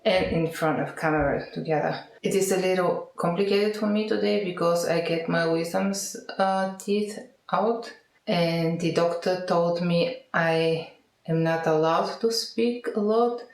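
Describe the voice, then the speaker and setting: A middle-aged woman from Bulgaria with a Bulgarian accent, speaking non-native English in an unscripted monologue to camera, halting and correcting herself as she searches for words. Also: lowish voice. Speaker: vlogger practising English speaking. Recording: echoey, roomy sound.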